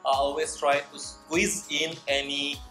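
A man's voice: a little high, level tone, unhurried, pushed and bright, roomy sound, soft background music. A man in his thirties from Indonesia, chatting playfully to camera as a vlogger. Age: 30s. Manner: chatty, playful, mixes languages. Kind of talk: casual chat to camera. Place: indoor room with wood-panelled wall.

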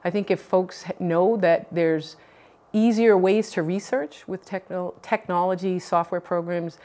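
A woman's voice: on the low side, steady tone, a little slow, dark tone. Earnest middle-aged woman candidate. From Boston. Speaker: political candidate. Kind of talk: TV interview answers. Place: TV news studio. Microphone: lapel mic.

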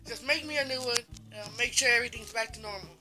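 A woman's voice: fairly high pitch, quick pace, over music, close to an everyday voice. A woman voicing a whiny, grumpy male cartoon character who keeps complaining. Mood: demanding. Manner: whining, complaining. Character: whiny grumpy cartoon guy named Freddy.

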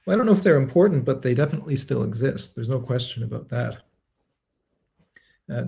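A man in his thirties, a composer, answering viewer questions on a livestream from his home study in a measured, reflective way, pausing and correcting himself as he thinks. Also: mid-range voice, gravelly, warm tone. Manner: thoughtful, measured, with frequent fillers and self-corrections. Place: home study lined with bookshelves. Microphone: webcam framing at desk, livestream.

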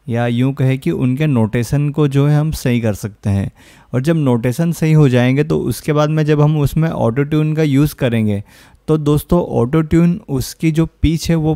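Clear, smooth man explaining software. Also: mid-range voice, no echo, studio quality. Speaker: music production tutorial host. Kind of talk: informal screen-recorded software tutorial. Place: close, dry indoor voice-over.

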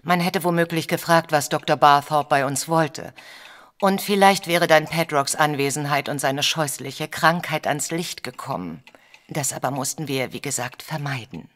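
Tief sprechende viktorianische Dame. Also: female voice, low-pitched, a bit bright. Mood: discreet, formal. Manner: formal, measured storytelling. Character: proper, discreet Victorian married lady, troubled client. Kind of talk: German audio drama acting.

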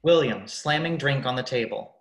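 A man's voice: medium pitch, normal speed. A young man reading stage directions as the narrator of a radio play, in scripted narration. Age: young adult. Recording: echoey room.

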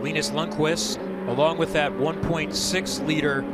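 A man's voice: on the high side, steady tone, a little slow. A man calling a motor race live on television with a polished announcer delivery. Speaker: lead race commentator. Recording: loud engine and trackside noise. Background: racing cars at a track.

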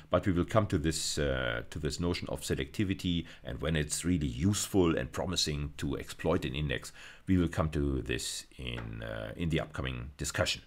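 A middle-aged man with an extremely low voice delivers a university video lecture. He explains things step by step, often repeating and rewording key phrases as he goes.

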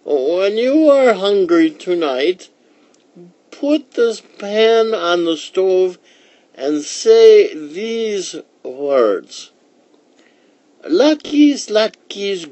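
A man voicing a kindly, mysterious old woman in a children's storybook, with slow, emphatic delivery. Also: sits high, big pitch swings, darkish tone, no room sound, pitched above the performer's own voice, heavily acted. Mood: grateful, mysterious.

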